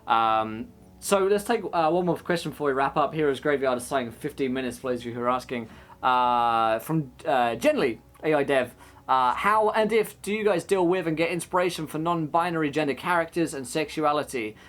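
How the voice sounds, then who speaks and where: A man, the game master, chatting casually and giving roleplay tips, with a relaxed, rambling delivery. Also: a little high, normal speed. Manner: relaxed, rambling explanations with many fillers.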